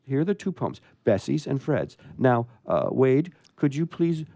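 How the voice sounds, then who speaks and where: Playful, animated man hosting, mellow voice. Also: medium pitch, unhurried. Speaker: host of a radio theatre show. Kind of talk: live chatty hosting with readings aloud.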